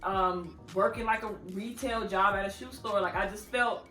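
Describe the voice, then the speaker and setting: A woman in her thirties talks straight to camera like she is chatting with friends, playful and quick to laugh. Her voice is bright and forward. The room around her rings with echo, and faint music sits underneath. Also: medium pitch, fairly even intonation. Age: 30s. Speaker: vlogger, channel host. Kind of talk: casual advice talk to camera.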